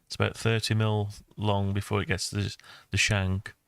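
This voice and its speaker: Low, even-toned older man, thinking aloud. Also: normal speed, creaky, clean tone, dry recording. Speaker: maker and video host. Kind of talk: casual screen-recorded tutorial talk.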